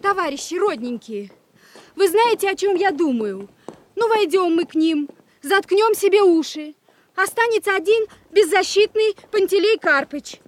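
A woman's voice: very high voice, expressive, moderate pace. A woman playing an anxious, pleading young character in a radio play, with an urgent, emphatic manner.

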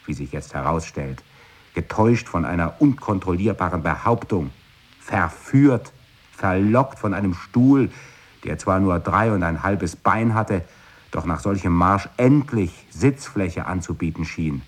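A man with a very low, husky voice gives a measured, declamatory recitation of experimental verse. He is recorded in a radio studio. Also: animated. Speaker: speaker in an experimental radio play. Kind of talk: scripted poetic recitation.

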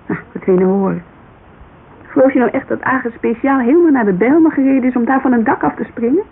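Radio drama role: headstrong, insistent woman, exasperated and plain-spoken, creaky edge, slight hiss. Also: high-pitched, quick pace. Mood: challenging. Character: headstrong, blunt businesswoman client pressing a detective. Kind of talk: Dutch radio drama acting, conversational. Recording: narrow, noisy, creaky-sounding old radio broadcast.

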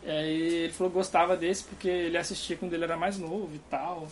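A man's voice: a little high, level tone, fast talker, slight background noise. A young man from Brazil with a Carioca accent, chatting casually and rambling, laughing often, talking from a dorm room. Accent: Carioca Brazilian Portuguese. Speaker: guest. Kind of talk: casual chat.

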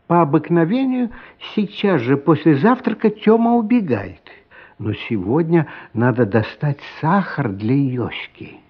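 A man narrating a radio play as a dramatic, expressive storyteller. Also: fairly high pitch, unhurried, breathy edge. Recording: muffled radio play recording.

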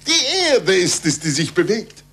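An actor playing an impassioned, urgent astronomer in a 1940s radio play, speaking emphatically. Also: male voice, high-pitched, sing-song, unhurried, full character voice. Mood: excited, triumphant. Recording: husky-edged, bright 1940s radio recording.

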